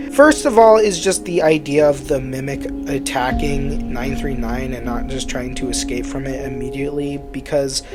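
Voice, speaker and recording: Explanatory video commentator over background music. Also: medium pitch. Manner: opinionated, explanatory, hedging with personal opinion. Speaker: video essay host. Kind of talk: scripted video commentary on matchups.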